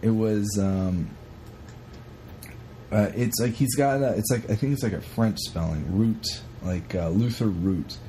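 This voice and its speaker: A man co-hosting a podcast, chatting casually in an animated, rambling, sweary way as he retells stories. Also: on the low side, a little slow, a little hiss or hum. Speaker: podcast co-host. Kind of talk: casual chat retelling a historical story.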